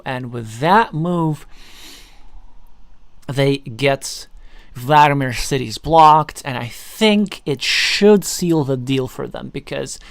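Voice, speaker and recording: Man, native Russian speaker, slightly high voice, board game commentator, analytical, thinking aloud, dry close sound. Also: vocal fry, no echo. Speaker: tournament commentator.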